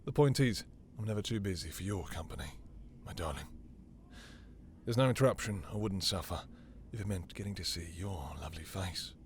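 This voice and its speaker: Seductive male vampire for intimate audio roleplay, played by a man: very low, gravelly, slow and possessive. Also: a little hiss or hum. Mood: flirtatious, courteous. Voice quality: deep, breathy, gravelly whispery murmur. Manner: slow, teasing, silky, commanding.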